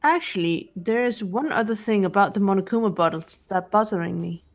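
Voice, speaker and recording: A woman playing a cool, analytical teenage girl detective in a game voice-over, speaking in a measured, serious way. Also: medium pitch, lightly acted. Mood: businesslike. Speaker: amateur voice actor. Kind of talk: fan voice-over of a visual novel game. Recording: game music under the voice.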